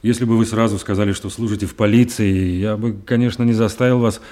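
An actor in a radio play voicing a novice police lieutenant, formal, respectful and deferential. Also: male voice, lowish voice, steady tone, quick pace, warm tone, close to an everyday voice. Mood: apologetic, dutiful.

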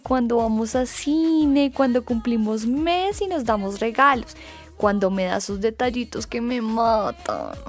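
Woman acting a high-voiced, lovestruck young Colombian woman. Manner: gushing, sighing. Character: dreamy lovestruck young woman gushing about her boyfriend. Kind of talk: radio soap acting.

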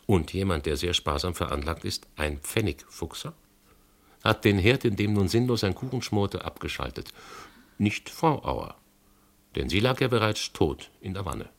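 An actor playing a shrewd, methodical police inspector in a German radio crime drama, reasoning insistently with a gravelly, husky voice. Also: male voice, low-pitched, animated, heavily acted. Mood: insistent, accusing. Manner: deliberate, insistent, reasoning point by point.

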